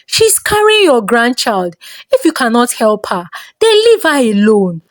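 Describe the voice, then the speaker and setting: A woman's voice: on the high side, sing-song, unhurried, dry recording, clean studio sound. A woman with a Nigerian accent voicing a concerned, firm older village mother in a folktale. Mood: firm, protective.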